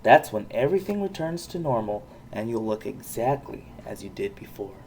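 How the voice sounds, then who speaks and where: A reader voicing a kindly old fairy godmother horse who gives calm commands in a children's storybook. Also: medium pitch, normal speed, a little hiss or hum. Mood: calm, commanding. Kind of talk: children's storybook read-aloud.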